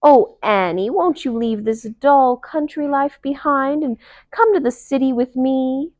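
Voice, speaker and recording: A high-pitched, haughty city mouse, as a woman reading aloud puts her on, grand and fussy about her fine city life. She shrieks in panic whenever danger appears. The sound is close and dry. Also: measured pace, darkish tone, no echo. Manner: haughty and showy.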